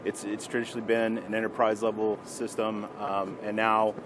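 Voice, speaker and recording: A man's voice: fairly low pitch, level tone, moderate pace. A man interviewing in a casual, agreeable way, in an informal business conversation at a trade show. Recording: clear show-floor background noise.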